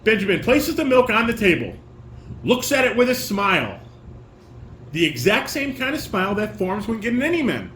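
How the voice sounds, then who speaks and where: A man with a high, swooping voice directs a home table read, switching between instructions and read-aloud stage directions. The room echoes, with a light hum of fan and air conditioner. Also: big pitch swings, moderate pace, a bit bright. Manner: instructing, repeats and corrects lines. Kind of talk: casual coaching mixed with reading stage directions aloud. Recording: roomy echo, fan and air conditioner noise.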